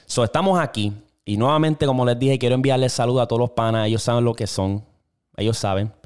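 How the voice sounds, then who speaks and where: A man's voice: medium pitch, fast, darkish tone, smooth. A young man from Puerto Rico with a Puerto Rican accent, hosting a casual solo podcast in a slangy, laid-back way. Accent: Puerto Rican Spanish. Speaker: podcast host. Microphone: single camera with mic.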